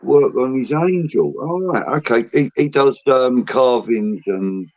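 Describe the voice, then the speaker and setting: A man hosting a casual fan show, reading out viewer comments in a relaxed way between jokes. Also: medium pitch, unhurried, muffled recording. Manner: relaxed, reads out chat messages between jokes. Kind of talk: casual chat.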